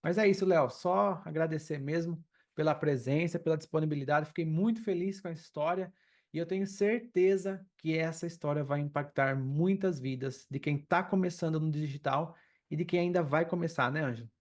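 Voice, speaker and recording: A man's voice: a little high, fairly quick, darkish tone. A Brazilian man speaking as a podcast co-host in a casual, conversational way. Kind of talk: casual podcast talk, addressing viewers.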